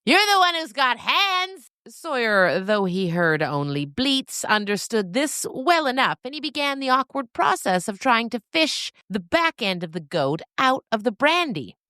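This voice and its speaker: A woman's voice: fairly high pitch, very animated, a little slow, few pauses, sharp tone, dry recording, clean studio sound. A woman narrating a comic scripted story as its storyteller.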